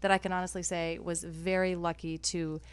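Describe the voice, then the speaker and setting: A woman chatting as a guest on an interview show, bubbly and quick to laugh. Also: fairly low pitch, little pitch movement, clear, resonant tone. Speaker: guest dialogue coach. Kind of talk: casual interview chat.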